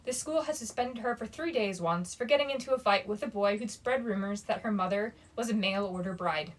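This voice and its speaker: A woman's voice: mid-range voice, fairly quick, low-quality recording. Woman reading a fantasy story aloud. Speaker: bookshop story reader. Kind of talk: reading a young adult fantasy novel aloud.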